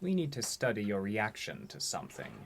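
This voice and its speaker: Man acting low, gravelly, eerie interrogator. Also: huge pitch swings, slight background noise, heavily acted. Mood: cold, clinical. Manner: deliberate, formal, insistent repeated questioning. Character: probing, clinical, eerily calm male interrogator. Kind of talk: horror audio drama acting.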